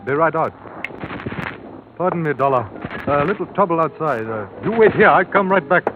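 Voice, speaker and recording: An actor playing a wary, guarded club-owning police informer in a 1950s radio drama, talking in a clipped, evasive way. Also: male voice, a little high, animated, moderate pace, noisy background, natural voice. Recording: muffled old radio recording with music.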